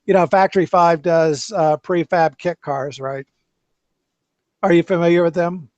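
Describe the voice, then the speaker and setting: A man's voice: fairly high pitch, steady tone, unhurried, darkish tone, no echo. A man hosting a casual car chat, talking in loose, rambling sentences with many restarts. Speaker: podcast host. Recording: dull lo-fi dry sound.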